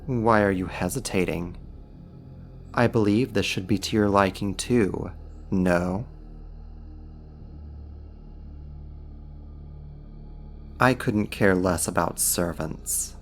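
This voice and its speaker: Man acting a slow, low, seductive demon. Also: a little hiss or hum. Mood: possessive, coaxing. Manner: teasing, smug, deliberate delivery. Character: seductive, amused, possessive male archdemon, condescending to humans. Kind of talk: ASMR roleplay acting, intimate second-person monologue.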